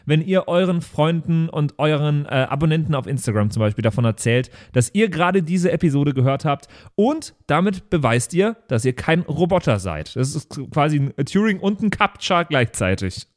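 Casual male podcast host, slightly higher voice. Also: sing-song, normal speed, hardly any pauses. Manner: joking, informal. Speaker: podcast host and game master. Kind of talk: casual podcast outro chat.

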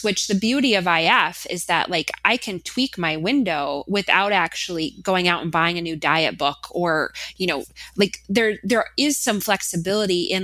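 A woman's voice: lowish voice, fairly bright tone, no room sound. A woman guest on a podcast, telling her story in a chatty, filler-heavy way. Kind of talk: casual interview chat.

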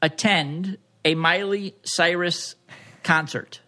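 A man co-hosting a podcast, playfully quizzing a guest in a joking, halting way. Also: on the high side, steady tone, bright, forceful tone. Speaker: podcast co-host. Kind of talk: casual chat, playful quizzing.

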